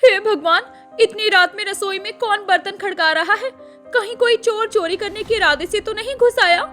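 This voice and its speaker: A woman's voice: extremely high pitch, moderate pace, pushed and bright, soft background music. A woman playing a lazy, sardonic elder sister-in-law in a family story, teasing and theatrical. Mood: alarmed.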